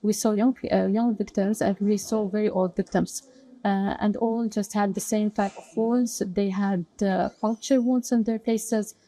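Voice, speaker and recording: A woman speaks in an unscripted interview with a soft-edged, mellow tone at a middle pitch. She is hesitant, piecing her account together in halting phrases. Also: fairly even intonation, rough recording.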